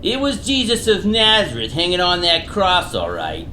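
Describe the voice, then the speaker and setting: A deferential mob underling voiced by an actor in a comic radio play, anxiously reporting in slangy double negatives. The pitch jumps around widely and the room rings with echo. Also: huge pitch swings, moderate pace, sharp tone. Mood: deferential, grave. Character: deferential gangster underling Vinny, slangy, nervous bearer of bad news. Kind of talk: comic radio theater acting. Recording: roomy, echoing, faintly noisy recording.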